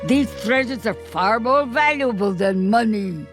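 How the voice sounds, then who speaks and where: A woman's voice: fairly high pitch, lively intonation, a little slow. A woman voicing a scheming villainous sorceress duck, gloating in broken grammar. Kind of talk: video game cutscene acting. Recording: music behind the voice.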